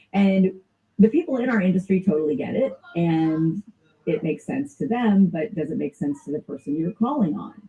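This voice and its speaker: A woman with a Southern US accent giving a casual, informal sales training lesson and laughing often. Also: on the low side. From American South. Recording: dull lo-fi recording.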